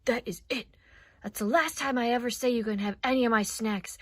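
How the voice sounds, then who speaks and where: A grumpy, touchy puppet turtle from a children's skit, played by a woman. The voice is raspy and fairly high, with a huffy, emphatic way of complaining. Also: fairly quick, natural voice. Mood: furious.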